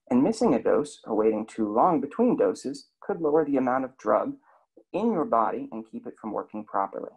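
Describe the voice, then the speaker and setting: Gravelly man narrating a health slideshow. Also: medium pitch, poor audio. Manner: steady explanatory reading with questions to the listener. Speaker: clinic health educator.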